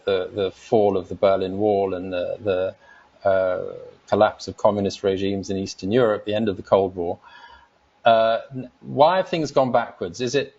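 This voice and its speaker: Stammering male moderator, lowish voice. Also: a little mellow, lo-fi sound. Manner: halting, lots of stammered restarts. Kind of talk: moderating a virtual panel.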